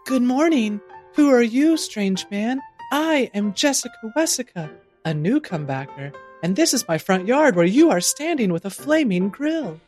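A man's voice: very high-pitched, big pitch swings, moderate pace, a little mellow, faint music behind. A man playing a haughty, eccentric woman newcomer with a formal, theatrical delivery in a comic audio play. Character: haughty, eccentric woman newcomer who mothers peacock eggs.

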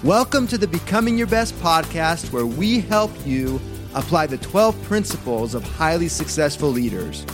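A man's voice: a little high, very animated, moderate pace. A man reading a scripted, motivational show opening with rhetorical questions. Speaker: show announcer. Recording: music bed behind voice.

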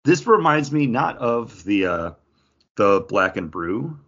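A man's voice: fairly low pitch, big pitch swings, slow pace, a bit bright, lo-fi sound. A man hosting a podcast in a casual, chatty style. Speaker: podcast host. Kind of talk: casual chat.

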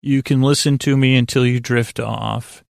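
A man with a heavily creaky, gravelly voice at an average pitch hosts a bedtime podcast. He meanders through an informal monologue, halting and doubling back in a self-deprecating way. The recording is very dry, with no sense of the room. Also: fairly even intonation, no room sound. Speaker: host of a bedtime sleep podcast.

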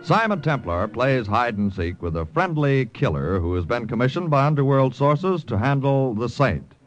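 Brisk male announcer, lively delivery, faint music behind. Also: mid-range voice, lo-fi sound. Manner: brisk, formal. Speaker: radio announcer. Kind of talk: reading credits and promos. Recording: old radio broadcast with faint music.

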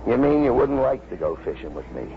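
An actor playing a kindly, plainspoken New York policeman and family man in a 1950s radio drama, talking in a folksy, halting way. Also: medium pitch, sing-song, lightly acted. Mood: coaxing. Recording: muffled, noisy 1950s radio recording.

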